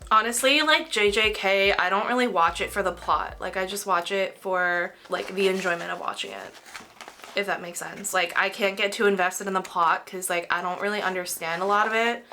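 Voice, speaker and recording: A woman's voice: medium pitch, moderate pace, bright, forceful tone, a little hiss or hum. A young woman with a slightly nasal voice, tired from a cold, rambling casually while she packs orders. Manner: rambling, heavy use of "like". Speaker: crafting vlogger.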